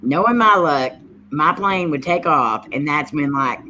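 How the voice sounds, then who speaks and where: A woman's voice: low-pitched, animated, a little slow, bright, forceful tone, muffled recording. A woman from Texas co-hosting a live stream in casual group chat. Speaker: co-host of a paranormal live stream. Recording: faintly noisy live stream audio.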